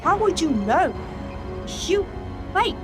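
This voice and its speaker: A woman's voice: extremely high pitch, very animated, slow, over music, full character voice. A woman playing a blunt, suspicious starship master chief in a science fiction audio drama. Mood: blunt, questioning. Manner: blunt, challenging.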